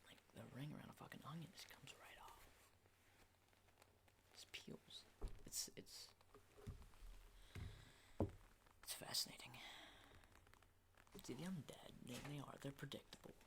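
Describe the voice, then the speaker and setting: Casting: male actor as menacing, unhinged survivor for ASMR horror roleplay; whispery, creaky low voice, halting and intimate. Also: natural voice. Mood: morbid fascination.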